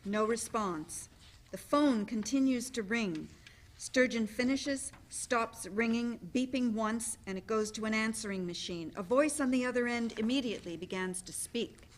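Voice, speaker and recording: A woman's voice: on the high side, a little slow. A woman hosting a play reading and reading out narration to a live audience. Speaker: event host and stage directions reader. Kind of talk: live event hosting and narrated stage directions.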